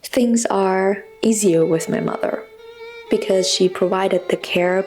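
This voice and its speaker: Casting: woman, 20s, non-native English speaker, confessional scripted narration, medium pitch with some gravelly fry, faint music underneath. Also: darkish tone. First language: not English. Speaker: personal vlogger. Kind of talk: reflective scripted personal narration.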